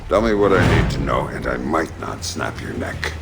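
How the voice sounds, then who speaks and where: An actor playing a gruff, middle-aged covert operative in a video game, speaking in a terse, menacing deadpan. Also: male voice, extremely low pitch, moderate pace, noisy background, heavily acted. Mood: cold menace. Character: grim, gruff male covert operative, cold interrogator. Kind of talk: video game cutscene acting. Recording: music behind the voice.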